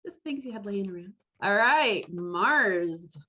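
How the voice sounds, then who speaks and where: A woman's voice: mid-range voice, lively intonation, slow, bright, forceful tone, muffled recording. A woman casually hosting an online group meeting, chatting and reacting to guests over a video call. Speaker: host of an online fan club meeting.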